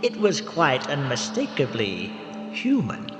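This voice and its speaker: An actor playing an eccentric, obliging old morgue attendant who tells spooky radio tales in a theatrical, drawn-out way. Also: male voice, medium pitch, huge pitch swings. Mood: spooky relish. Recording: reverberant radio drama, music behind.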